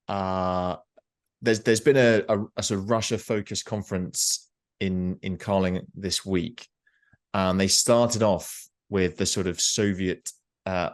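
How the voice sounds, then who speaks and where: Man, lowish voice, polite halting moderator, video call. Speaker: webinar moderator. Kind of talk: casual moderating.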